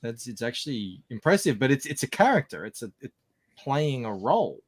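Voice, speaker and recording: A man's voice: medium pitch, sing-song, fairly quick, husky, no room sound. A man co-hosting a casual talk show, making wry, ironic asides. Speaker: podcast co-host. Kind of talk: casual chat.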